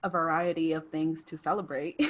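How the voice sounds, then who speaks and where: A woman's voice: sits low, moderate pace. A young woman co-hosting a podcast, chatting casually on a video call from her room with laughs and fillers. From United States. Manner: relaxed, laughs often, many fillers. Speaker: podcast co-host. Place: bedroom at home, indoors. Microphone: video call webcam mic.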